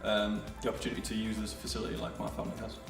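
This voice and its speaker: A young man from Yarm in north-east England, a graduate trainee, answering interview questions quickly with hesitant restarts. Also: on the low side, completely flat delivery, creaky. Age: young adult. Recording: roomy, distant mic with music behind.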